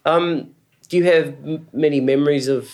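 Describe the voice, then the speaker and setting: A man's voice: fairly high pitch, fairly even intonation, normal speed, a little mellow. Friendly male interview host. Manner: encouraging, lots of short acknowledgements. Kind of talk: casual interview.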